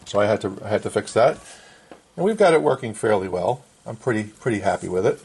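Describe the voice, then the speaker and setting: A man's voice: lowish voice, big pitch swings, fairly quick, a little mellow, husky. A middle-aged man casually explaining a hands-on repair at an indoor workbench in short, plain phrases. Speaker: vintage radio restorer and presenter.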